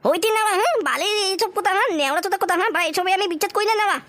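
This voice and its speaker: A man voicing a bratty, foul-mouthed boy in an amateur comic cartoon, with a whiny, pitched-up voice and tag questions. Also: expressive, rapid-fire, sharp tone, exaggerated voice. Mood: irritated. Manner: whiny grumbling, crude, repeated question tags.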